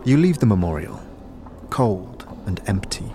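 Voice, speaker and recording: A man's voice: lowish voice, sing-song, unhurried, noisy background. A man narrating a fantasy audio drama in scripted second-person storytelling.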